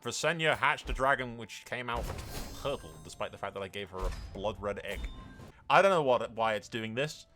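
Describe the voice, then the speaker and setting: A man giving casual commentary on a roleplay strategy game, animated and irreverent, with sweary asides. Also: mid-range voice, moderate pace, faint music behind. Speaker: gaming video narrator.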